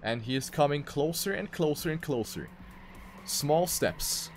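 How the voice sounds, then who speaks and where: Man, highish voice, esports race commentator, play-by-play delivery, repeats for emphasis, music behind. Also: expressive, unhurried. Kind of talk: live racing game commentary.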